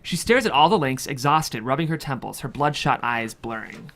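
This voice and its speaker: A man's voice: medium pitch, expressive, normal speed, fairly bright tone, a little hiss or hum. A man reading a screenplay's stage directions as scripted narration. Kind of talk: scripted narration at a table read.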